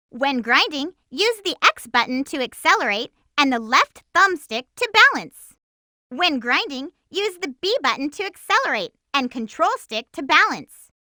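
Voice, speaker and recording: A woman voicing a perky, bold cartoon hedgehog girl hero in a video game, giving hints in a chirpy, clearly enunciated, eager way. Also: high voice, bright, forceful tone, no room sound, clean studio sound, full character voice. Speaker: playable character giving gameplay hints. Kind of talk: video game tutorial voice acting.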